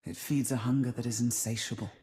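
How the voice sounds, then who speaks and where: An actress playing an ancient spider spirit in a video game, a cold, seductive seer who speaks in portentous, hushed monologue that often sinks toward a whisper. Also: female voice, fairly even intonation. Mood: menacing, mysterious. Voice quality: low, hushed, often sinking to breathy whisper. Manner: slow, brooding, portentous, measured. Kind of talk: dramatic scripted game monologue.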